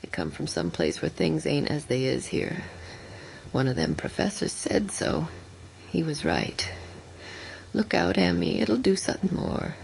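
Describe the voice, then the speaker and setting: A woman voicing a dying old farmer, dazed with horror, in halting rustic fragments and breathy croaks for a horror audiobook. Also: deep voice, big pitch swings, a little mellow, exaggerated voice. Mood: weak, warning. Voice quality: breathy, crackling whispers and croaks. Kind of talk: dramatic horror audiobook reading.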